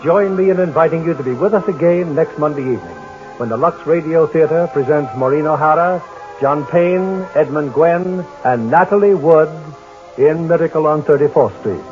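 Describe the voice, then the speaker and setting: A middle-aged man hosting a 1940s radio drama broadcast, courteous and measured in a formal manner. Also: a little high, narrow, tinny audio, over music. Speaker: radio drama host and producer.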